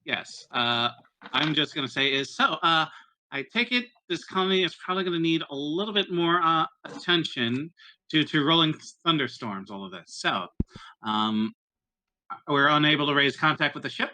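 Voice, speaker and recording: A man playing a tabletop roleplaying game, improvising in character in a hesitant, halting way. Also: a little high, animated, pushed and bright, rough recording.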